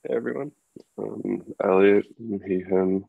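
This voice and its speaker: Gravelly low-voiced young man on a call. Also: fairly even intonation, slow, no room sound. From Colorado. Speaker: student introducing himself. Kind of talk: casual self-introduction on a video call. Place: small home room. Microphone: video call, laptop mic. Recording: dull lo-fi video call audio.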